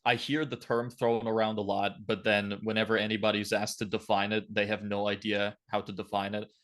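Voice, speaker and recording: A man's voice: on the low side, little pitch movement, a little fast, a bit bright. A twenty-year-old man from Lithuania giving blunt, opinionated, casual answers as a podcast guest.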